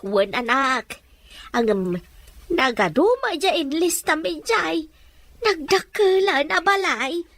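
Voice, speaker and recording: A woman's voice: extremely high pitch, animated, takes it slowly, fairly bright tone, no echo, heavily acted. An actress playing an ailing, affectionate elderly mother in a Filipino radio drama. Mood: loving.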